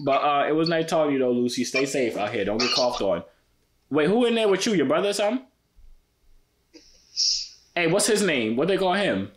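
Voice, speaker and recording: A man's voice: a little high, lively intonation, fairly quick, pauses often. A man in his twenties with an American accent chatting casually in slang, playful and teasing. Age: 20s. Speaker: vlogger chatting with strangers online.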